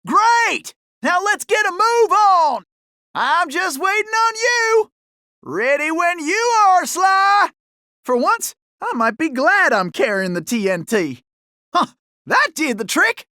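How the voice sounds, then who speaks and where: Folksy cowboy animal, video game gunslinger, Southern Old West drawl, swooping lively pitch, wisecracking, close dry sound. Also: male voice, takes it slowly, no room sound, full character voice. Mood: impatient, upbeat. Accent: American Old West Southern drawl. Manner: folksy, colorful frontier slang, animated. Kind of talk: video game character acting.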